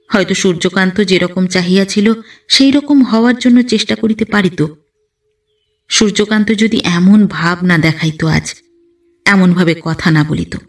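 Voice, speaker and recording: A woman reading a literary story aloud in an expressive, dramatic, emotive manner. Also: medium pitch, far from the mic. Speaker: audio story narrator.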